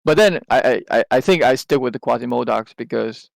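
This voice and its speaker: Gravelly man. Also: medium pitch, a little fast, dry recording. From Taiwan. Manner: rambling, with many restarts and hesitant fillers. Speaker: podcast co-host. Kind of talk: casual chat.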